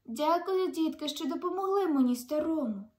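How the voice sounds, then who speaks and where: A woman's voice: high-pitched, animated, normal speed, darkish tone, roomy sound, voice pushed up. A young woman voicing a kindly old male wizard in a fairy tale, welcoming and emphatic. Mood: grateful.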